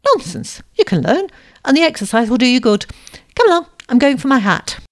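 A woman's voice: lowish voice, animated, moderate pace. Woman reading as a spirited, rebellious young lady. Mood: bossy. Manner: frank, insistent.

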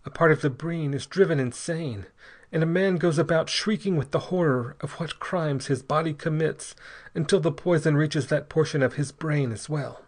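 Man acting a gasping, desperate poisoned gentleman. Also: a little high, voice pushed up, close to an everyday voice. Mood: horrified, imploring. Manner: halting, formal, pleading speech. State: gasping, unsteady. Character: poisoned Argentine gentleman, desperately polite, gasping, fighting madness.

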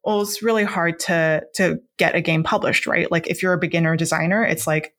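Chatty woman guest, slightly low voice. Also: fairly even intonation, a little fast, a bit bright. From Hong Kong. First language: Cantonese. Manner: chatty, many fillers and restarts. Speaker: game designer guest. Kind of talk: casual interview conversation.

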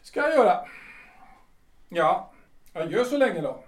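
A male actor plays an embittered civil servant of about sixty in a period radio drama. His voice sits fairly high and moves dramatically up and down with fatalistic weight. He sounds some distance from the microphone. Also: big pitch swings, a little hiss or hum. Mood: weary, courteous. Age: older. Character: bitter, brooding retired civil servant of 62.

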